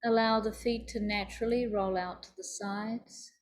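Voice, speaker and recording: A woman teaching yoga nidra, leading a guided meditation in a soothing, deliberate, instructive manner. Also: on the high side, fairly even intonation.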